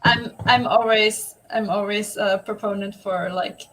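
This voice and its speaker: A woman's voice: mid-range voice, steady tone, a little slow, a bit bright, slight background noise, rough recording. A woman from Germany co-hosting a casual livestream, upbeat and supportive, laughing easily. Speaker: livestream co-host.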